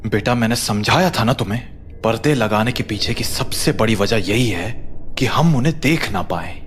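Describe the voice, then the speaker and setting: A man playing a protective village father in a horror audio drama, speaking gravely, firmly and with secrecy. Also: fairly low pitch, animated, moderate pace, a little hiss or hum, lightly acted. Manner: hushed, firm, explanatory.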